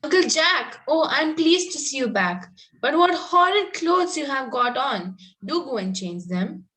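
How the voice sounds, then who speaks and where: A woman's voice: high voice, big pitch swings, unhurried, bright, forceful tone, heavily acted, rough recording. A woman playing a sweet, eager, coaxing young Victorian girl in a play read-through. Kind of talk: amateur play table read.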